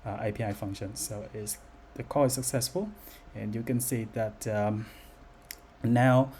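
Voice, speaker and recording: A man giving an unscripted software demo as its developer, speaking in a halting, hesitant way. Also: on the low side, fairly even intonation. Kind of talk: unscripted screen-recorded demo narration. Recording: noticeable background noise.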